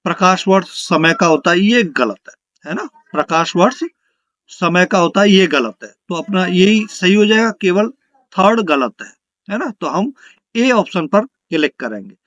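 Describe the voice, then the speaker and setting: A man's voice: sits high, animated, a little slow, fairly bright tone, dry recording, lo-fi sound. A man teaching an exam lesson, reading out questions and answers and repeating them for emphasis.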